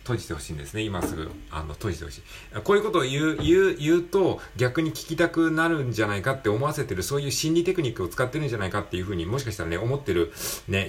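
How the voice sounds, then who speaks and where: A man hosting a solo show with an improvised casual monologue, rambling and self-deprecating as he digresses. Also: medium pitch. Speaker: solo audio show host.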